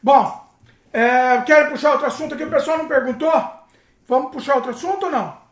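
A woman's voice: high-pitched, fast. A woman with a Brazilian accent hosting a casual chat, inquisitive and prompting the others. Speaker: talk show host. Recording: roomy echo.

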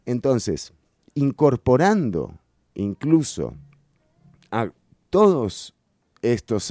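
A man's voice: lowish voice, mellow, no room sound, studio quality. A man hosting a radio show, giving emphatic political opinion commentary and repeating key phrases for stress. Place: radio studio.

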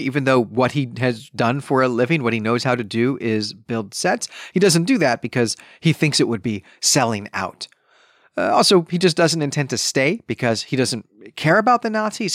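A man's voice: a little high, fairly bright tone, dry recording, studio quality. A man hosting a podcast, recapping a story in a conversational, emphatic, explanatory way. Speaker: podcast host reviewing a novel.

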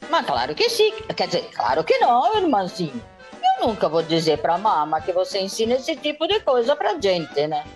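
A woman's voice: medium pitch, huge pitch swings, normal speed, soft background music. A woman playing a defiant, hot-tempered young sister in a comedic radio soap, theatrical and mocking, dropping in Italian words. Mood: teasing, prying.

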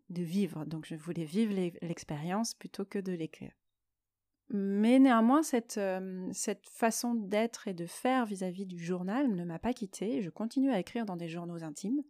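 A woman hosting a solo podcast, explaining her personal experience in a reflective monologue with frequent pauses mid-phrase. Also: on the low side, a little mellow, no room sound, studio quality. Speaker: podcast host.

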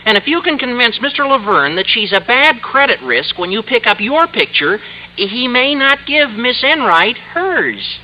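An actor playing an eager, scheming high school boy with a squeaky adolescent voice in an old radio comedy. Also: very animated, normal speed, bright, forceful tone, lo-fi sound, exaggerated voice. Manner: earnest, wheedling, self-justifying. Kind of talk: 1950s radio comedy acting. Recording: old radio broadcast recording.

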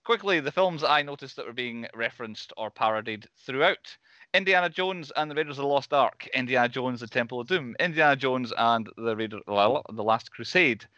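Quick-talking Scottish man on a podcast. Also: medium pitch, animated, sharp tone, no echo, lo-fi sound. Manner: quick, chatty, laughs often. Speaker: podcast host. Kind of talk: casual chat.

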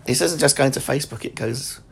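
A man's voice: medium pitch, brisk, gravelly, a little hiss or hum. A man, hosting a casual podcast chat and laughing often. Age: 40s. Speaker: podcast host.

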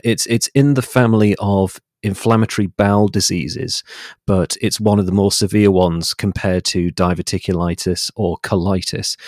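A man speaking as an interview guest, explaining things in a measured, articulate way. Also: deep voice, darkish tone, no echo, studio quality. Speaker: podcast guest, writer and voiceover artist.

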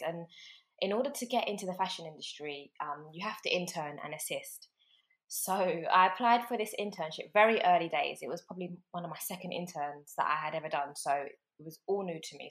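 A young London woman with a London accent and a lowish voice, chatting as an interview guest. She tells stories in a lively, animated way, full of fillers and quoted thoughts, recorded over a remote call. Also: a little fast. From London, England. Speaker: football agent, guest. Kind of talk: casual storytelling interview.

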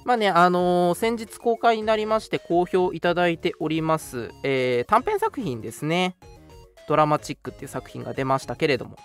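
A man hosting a radio show, giving announcements in a polite, chatty way. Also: a little high. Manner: polite, chatty, frequent interjected particles. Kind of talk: casual scripted-segment radio announcements.

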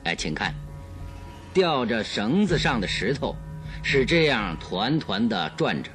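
A man's voice: mid-range voice, big pitch swings, a little slow, a bit bright, faint music behind. An actor playing a confident male detective in a radio drama, explaining deductions in a theatrical, self-assured way. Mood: showmanlike.

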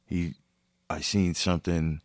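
Low-voiced man, blunt and profane. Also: a little slow, no echo, studio quality. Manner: blunt, swears a lot, animated. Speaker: podcast host. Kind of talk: casual profane chat.

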